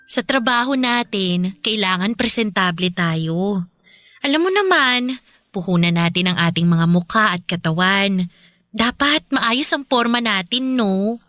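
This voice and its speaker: A woman's voice: medium pitch, lively intonation, unhurried, sharp tone, dry recording, studio quality. An actress playing a brash, streetwise young Filipina girlfriend in a radio drama, assertive and exasperated. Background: faint music.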